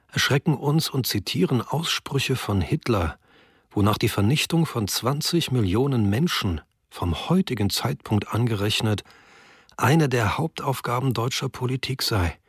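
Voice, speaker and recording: Solemn old archivist, low husky voice, dry sound. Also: male voice, no room sound. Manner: solemn, measured diary reading. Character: grave elderly historian writing a wartime diary. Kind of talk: documentary radio drama reading.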